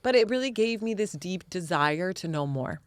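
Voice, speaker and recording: A woman's voice: lowish voice, lively intonation, normal speed, clean tone, no room sound. An American woman from the Midwest speaking as a podcast guest, reflective and earnest with a playful, casual streak. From Indiana, US Midwest. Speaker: podcast guest, spiritual teacher. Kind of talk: reflective conversational interview.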